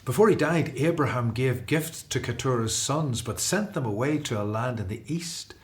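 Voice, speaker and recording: A man's voice: mid-range voice, animated, moderate pace, close to an everyday voice. Guy playing a runaway prince in a bible radio play, earnest and determined, mid-range voice. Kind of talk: radio drama acting.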